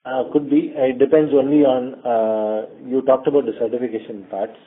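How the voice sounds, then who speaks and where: A man with an Indian accent answering questions as a company executive on an earnings call, in a measured, halting way with many long hesitations. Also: medium pitch, fairly even intonation. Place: conference call. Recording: narrowband tinny phone conference line.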